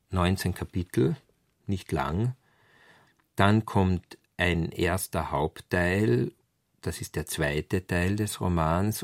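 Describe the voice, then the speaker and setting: Low, gravelly man explaining like a scholar. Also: no room sound, clean studio sound. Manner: explanatory, enumerating point by point. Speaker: literary scholar commenting. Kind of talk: explanatory talk on a novel's history.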